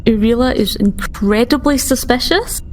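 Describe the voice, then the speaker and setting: A woman's voice: a little high, normal speed, a little mellow, slight background noise. A woman playing a tabletop game, casually describing what her character thinks and does.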